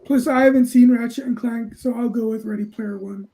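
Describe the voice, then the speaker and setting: A woman giving a measured opinion in a casual movie chat, pausing between phrases. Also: on the high side, steady tone, mellow. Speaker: panelist.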